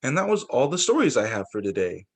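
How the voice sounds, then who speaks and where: A man reading storybooks aloud as a teacher for young children, in an expressive way with the occasional stumble and re-read phrase. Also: medium pitch, fast, gravelly, slightly rough.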